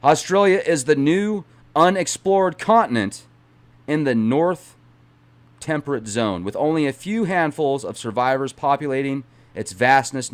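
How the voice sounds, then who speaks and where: A man hosting an online channel, reading a book aloud with dramatic emphasis and breaking off for casual asides. He sometimes stumbles over words and corrects himself. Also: on the high side.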